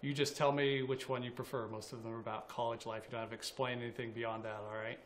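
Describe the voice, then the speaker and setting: A man's voice: mid-range voice, little pitch movement, fast, slight background noise. A man hosting a college sports interview, asking questions in a friendly, conversational way with fillers. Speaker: interviewer host.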